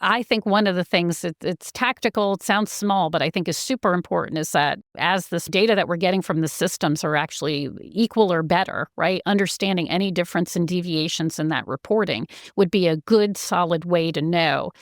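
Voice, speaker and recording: A woman's voice: lowish voice, brisk, hardly any pauses, dry recording, studio quality. A woman executive giving explanatory answers in an interview, speaking in an earnest, enthusiastic and articulate way. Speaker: company chief executive, interview guest.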